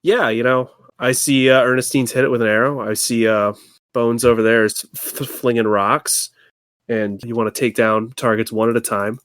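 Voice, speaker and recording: A man's voice: medium pitch, fairly even intonation, moderate pace, a bit bright, no room sound, studio quality. A man chatting casually as a player in a tabletop game, with a wry, jokey manner.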